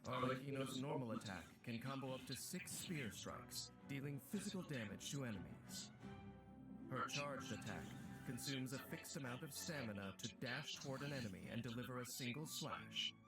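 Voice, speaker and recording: Guy narrating a game character trailer, formal polished read, mid pitch, music clearly under it. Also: lively intonation, moderate pace. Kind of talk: scripted narration.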